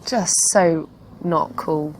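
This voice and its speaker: A woman's voice: deep voice, very slow, a little mellow, a little hiss or hum, low-quality recording. A woman giving casual, opinionated commentary as a dating show co-presenter.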